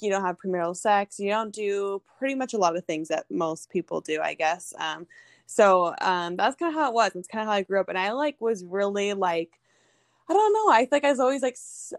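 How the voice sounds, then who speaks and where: A woman's voice: mid-range voice, no echo, clean studio sound. A young woman who is a podcast guest, telling her story in a chatty way with many fillers. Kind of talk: casual chat.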